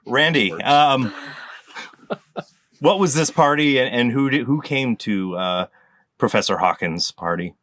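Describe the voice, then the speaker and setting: Male game host, mid pitch, bright, halting and rambly. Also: expressive, slight background noise. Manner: rambling, halting with many fillers. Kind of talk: casual group chat over a call.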